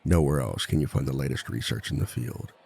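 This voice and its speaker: Very deep, gravelly villain lecturer, acted by a man. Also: animated, darkish tone, some chatter behind, slight background noise, full character voice. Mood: welcoming, composed. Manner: measured, polite, dryly authoritative. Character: composed, dry-witted male villain teaching a relationship seminar. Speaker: seminar instructor. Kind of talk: scripted ASMR roleplay monologue.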